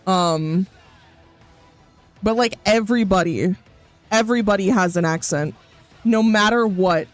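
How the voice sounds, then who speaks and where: A woman's voice: mid-range voice, a little slow, no echo. A woman streaming as a VTuber, chatting casually and reacting to chat while she plays a game. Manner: animated, reacting to chat, rising to outbursts. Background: game music.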